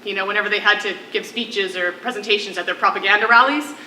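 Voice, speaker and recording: A stage actress playing a playful, witty imprisoned singer with a teasing, dramatic manner. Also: female voice, mid-range voice, fast talker, bright, forceful tone, far from the mic. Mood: matter-of-fact. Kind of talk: theatrical stage acting. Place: on a stage in a hall. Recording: echoey theatre stage, faint noise.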